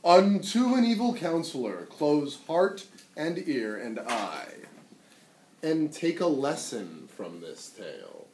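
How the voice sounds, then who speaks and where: A man reading a storybook aloud to children in a dramatic, theatrical and deliberate way. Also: on the high side, big pitch swings, a little mellow. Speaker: story reader. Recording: echoey, noisy room, distant mic.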